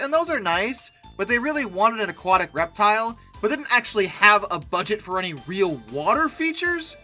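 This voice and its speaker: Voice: mid-range voice, bright, forceful tone. A comedic online host giving sarcastic reaction commentary with theatrical mock outrage. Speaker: YouTube host reacting to images.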